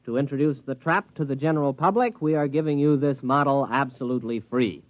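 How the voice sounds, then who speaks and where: A man's voice: mid-range voice, fairly quick, no echo, natural voice. An actor playing an eager, good-natured American outdoorsman in a 1950s radio drama, talking in a coaxing, folksy way. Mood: puzzled, excited. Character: eager, good-natured outdoorsman, friendly but easily swayed. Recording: muffled 1950s radio recording.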